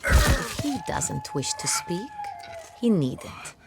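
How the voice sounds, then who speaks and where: An actress playing a commanding duchess in a fantasy video game, speaking formally and with imperious authority. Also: female voice, on the high side, wildly swooping pitch, slow pace, vocal fry, very noisy, heavily acted. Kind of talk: dramatic video game acting.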